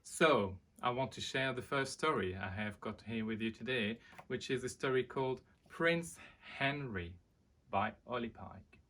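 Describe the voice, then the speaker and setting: Brief: male children's storyteller, unhurried delivery, lively swings in pitch. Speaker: storyteller presenting a children's book. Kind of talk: expressive storybook reading for children.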